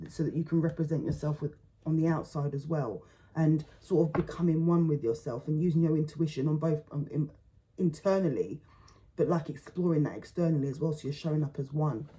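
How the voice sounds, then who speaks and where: A woman giving a relaxed spoken tarot reading, thinking aloud in halting phrases and often repeating words. She says her voice feels low today. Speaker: tarot reader.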